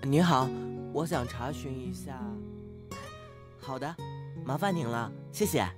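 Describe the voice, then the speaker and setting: A man playing a gentle, bookish male student in an amateur audio drama, speaking politely and earnestly. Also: medium pitch, a little slow, close to an everyday voice. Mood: mild concern. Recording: music behind the voice.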